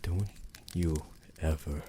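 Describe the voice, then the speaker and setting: A man voicing a devoted, possessive vampire lover in a romantic ASMR roleplay, speaking formally and tenderly with a gravelly voice. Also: a bass voice, steady tone, warm tone, a little hiss or hum. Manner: slow, formal, tender, theatrical endearments.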